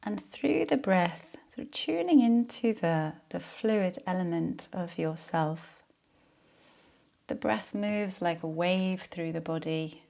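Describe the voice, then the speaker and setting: A woman leading a guided yoga nidra relaxation in a soothing, unhurried, soft-spoken way. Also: lowish voice, animated, vocal fry.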